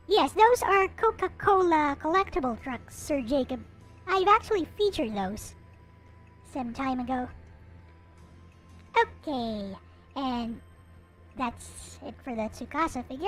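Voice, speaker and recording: A woman with a clearly high-pitched voice talks through a casual show-and-tell. Her delivery is playful and informal, like a streamer presenting a collection. Music plays in the background as she speaks. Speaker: streamer showing collectible anime figures.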